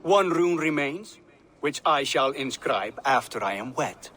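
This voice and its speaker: Boastful builder in a fantasy game, really animated, sharp voice, hall echo. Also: male voice, medium pitch, a little slow, slight background noise. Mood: smug, impatient. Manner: pompous, insistent, formal. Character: boastful, eager male builder demanding his bride. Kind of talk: video game cutscene acting. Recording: reverberant hall with music behind.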